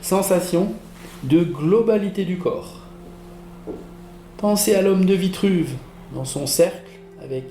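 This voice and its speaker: A man leading a guided relaxation as a yoga teacher, giving soothing, measured instructions with pauses. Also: mid-range voice, warm tone. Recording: roomy echo with music behind. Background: relaxation music.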